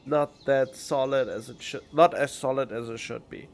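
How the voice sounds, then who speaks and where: A man's voice: mid-range voice, fairly even intonation, moderate pace, vocal fry. A man giving casual let's play commentary as a streamer, with dry, wry asides. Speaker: video game streamer. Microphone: studio condenser mic. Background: faint game music.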